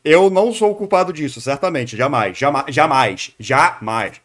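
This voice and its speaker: A man's voice: medium pitch, animated, normal speed, a bit bright, soft background music. A young Brazilian man streaming casual live commentary as he reacts to a video, playful and digressive, often repeating words. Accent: Brazilian Portuguese.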